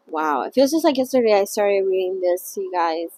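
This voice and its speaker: A woman's voice: medium pitch, expressive, moderate pace, warm tone. A woman hosting a casual read-along of a novel, chatty and a little self-conscious, with lots of fillers and laughs. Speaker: channel host reading a novel aloud.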